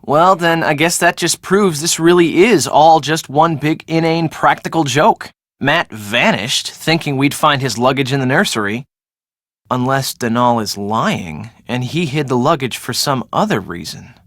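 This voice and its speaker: A man's voice: mid-range voice, unhurried, fairly bright tone, no room sound, clean studio sound. A man playing a blunt, casual young real-estate schemer in a video game, with an offhand, emphatic, conversational delivery. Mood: wry, brooding.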